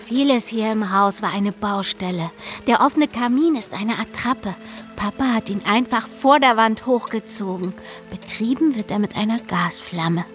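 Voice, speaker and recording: A woman playing a dead little sister in a radio drama, eerily calm, probing and accusing. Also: medium pitch, normal speed, faint music behind, lightly acted. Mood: eerie curiosity. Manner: probing, taunting, insistent.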